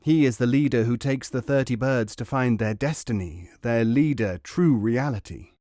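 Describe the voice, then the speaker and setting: A man's voice: mid-range voice, normal speed, warm tone, no room sound, clean studio sound, close to an everyday voice. A man doing a courtly old Persian bookseller. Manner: gracious, formal and polite.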